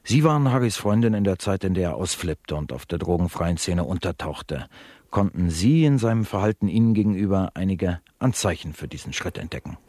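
A man's voice: low voice, animated, brisk, mellow, dry recording, studio quality. A man playing a slick, unflappable talk-show host in a satirical radio play, addressing guests and listeners with formal presenter patter. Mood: businesslike, smooth.

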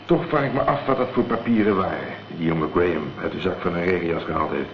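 An actor playing a laconic, wary private detective in a radio drama, speaking in a terse, matter-of-fact way. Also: male voice, sits low, quick pace. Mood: wary, thoughtful. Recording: dull, faintly noisy old radio play recording.

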